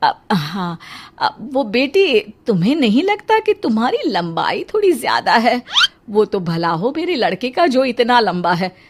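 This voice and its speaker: A woman's voice: fairly high pitch, big pitch swings, unhurried, noisy background. A woman voicing a middle-aged, anxious mother-in-law in a cartoon, with a dramatic, complaining manner. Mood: hesitant, probing.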